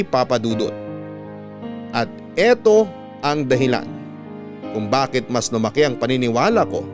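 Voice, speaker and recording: A man narrating a scripted first-person love story as a radio host reading out a listener's letter. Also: medium pitch.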